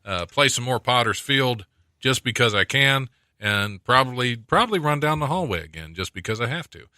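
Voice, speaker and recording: Bright-voiced radio host in his forties. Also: mid-range voice, animated, moderate pace, dry recording, clean studio sound. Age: 40s. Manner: laughs often, rambling storyteller. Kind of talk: casual banter on college radio. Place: radio studio.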